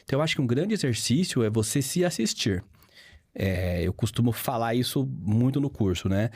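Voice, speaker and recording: Brazilian male teacher, fifty, conversational and didactic, mid-pitched, dry recording. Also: animated, fairly quick, a little mellow, dry recording. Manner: didactic, explains with examples and repetition. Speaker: guest, public speaking teacher. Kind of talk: conversational interview, explaining.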